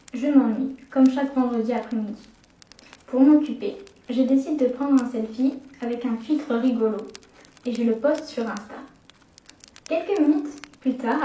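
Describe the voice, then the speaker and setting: A young woman narrating a first-person horror story, slowing down at the scary moments. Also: fairly high pitch, mellow. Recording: echoey room, distant from the mic.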